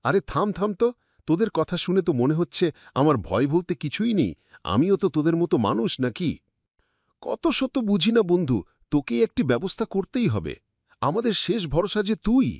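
A man playing an excitable village youth among friends in a cartoon, with exclamatory, bantering delivery. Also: high-pitched, moderate pace, dry recording, clean studio sound, full character voice. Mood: bantering, anxious.

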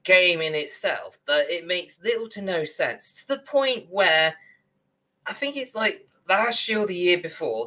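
A man in his twenties or thirties co-hosting a casual podcast over a video call, rambling and animated. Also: sits high. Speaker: podcast co-host. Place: small room at home, on a video call.

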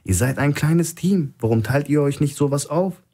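A man's voice: fairly high pitch, expressive, normal speed, mellow, pitched above the performer's own voice. Shrewd male hostage for thriller audiobook, played by a male narrator: raised voice, insistent, needling. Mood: pressing, provoking. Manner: insistent, reasoning, provoking. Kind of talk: audiobook character acting.

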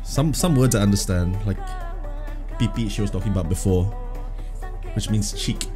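Excited man reacting over background music. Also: on the low side, normal speed. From Borneo, Malaysia. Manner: enthusiastic, exclaiming in wonder. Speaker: YouTube reaction host. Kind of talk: casual reaction commentary. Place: small home studio room. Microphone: boom-arm studio mic. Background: music playing from the video.

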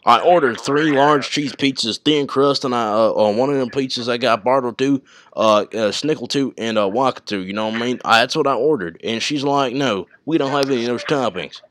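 A man's voice: mid-range voice, brisk, fairly bright tone. A man with a country American accent rambling casually and slangily on a prank phone call. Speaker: prank caller and video maker.

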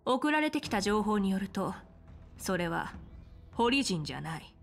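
Game general: woman, composed, authoritative, frank, measured delivery over background music. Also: medium pitch, very animated, creaky, heavily acted. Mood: grave. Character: confident, composed female military general in fantasy game. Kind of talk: dramatic Japanese game voice acting.

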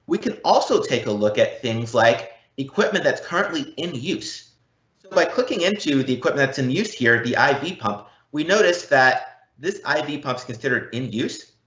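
A man giving an explanatory software demo as a product presenter, in a didactic way with emphatic repetition. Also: medium pitch, big pitch swings, moderate pace. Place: indoor room, recorded live online event. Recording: muffled, roomy live-event recording.

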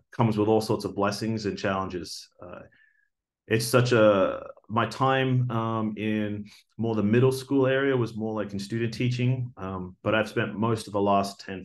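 Australian man with a low voice, earnest and halting. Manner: earnest, many "uh" fillers and restarts. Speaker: job applicant, teacher. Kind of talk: video job application talk. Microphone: webcam video recording.

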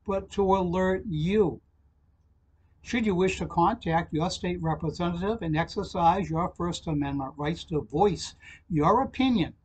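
An older man, reading a formal, measured informational address to camera from a small office. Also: a little high, breathy edge, rough recording. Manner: formal, measured, reads out numbers and web addresses slowly. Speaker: channel host giving a legislative alert.

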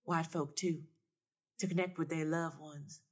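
A woman's voice: deep voice, steady tone, unhurried. A woman voicing a knowing Southern woman seer in a story, folksy, solemn and assured.

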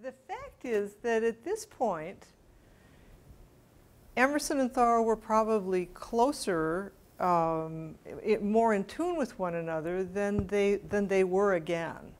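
A woman's voice: mid-range voice, expressive, takes it slowly. A middle-aged woman speaking informally to a room as she leads questions, often restarting her phrases. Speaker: speaker leading a talk and question session. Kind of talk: informal public talk, taking questions. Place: indoor meeting room with audience. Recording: room ambience, some background noise.

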